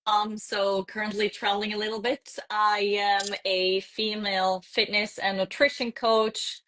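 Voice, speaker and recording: A woman from Switzerland talks as a guest over a live video call, chatty and casual, with frequent fillers and a ready laugh. Her pitch sits in an ordinary middle range for a woman. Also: level tone, measured pace, fairly bright tone. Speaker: podcast guest, fitness coach. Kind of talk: casual live-stream chat.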